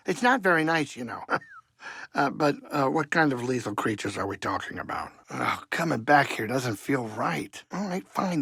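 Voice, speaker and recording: An actor playing a fussy, good-hearted middle-aged alien pilot and ship's cook in a video game, with a gravelly voice and a folksy, fretful, chatty manner. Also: male voice, on the high side, a bit bright, dry recording, studio quality, full character voice. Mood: fond, bantering, then uneasy, subdued. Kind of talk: video game character acting.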